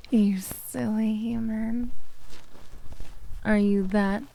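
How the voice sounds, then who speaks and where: A woman playing a possessive, teasing, motherly beast-girl captor in an intimate ASMR roleplay, speaking softly in a close-up murmur that slips into whispers. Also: medium pitch, flat delivery, creaky, rough recording. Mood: teasing, mock-menacing. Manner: slow, soft teasing murmur slipping into whispers. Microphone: close ASMR microphone.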